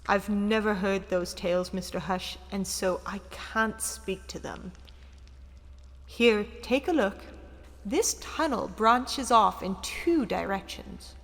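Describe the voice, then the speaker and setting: A woman's voice: medium pitch, takes it slowly, fairly bright tone. A woman playing a cool, condescending guide in a sci-fi audio drama, formal and haughty in her delivery. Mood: cool, guarded. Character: cool, condescending female guide of ancient tunnels. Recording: long hall reverb.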